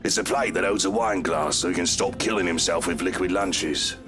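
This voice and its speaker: An actor playing a cheeky, sardonic, wine-loving married gentleman in a visual novel, flippant in manner. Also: sits low, moderate pace, close to an everyday voice. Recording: music behind the voice.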